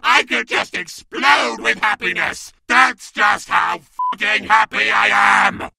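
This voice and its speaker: Young anime parody villain: theatrical exasperation, creaky high voice. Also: male voice, slow, shouting, heavy background noise, full character voice. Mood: furious. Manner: theatrical, exasperated, whiny ranting. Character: petulant, melodramatic young male anime villain. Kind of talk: anime parody comedy dub. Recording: music behind.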